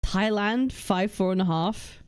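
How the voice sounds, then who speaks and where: A young woman hosting a casual livestream, reading chat and lists aloud, blunt and swearing freely as she thinks out loud. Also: mid-range voice, a little slow, clean tone. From United Kingdom. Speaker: livestream host.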